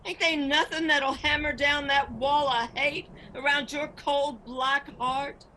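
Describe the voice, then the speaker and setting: High, forceful Appalachian mountain mother. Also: fairly even intonation, takes it slowly, slight background noise, full character voice, poor audio. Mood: indignant. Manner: forceful, pleading, accusing. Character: bold, indignant mountain mother confronting an enemy. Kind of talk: radio drama acting.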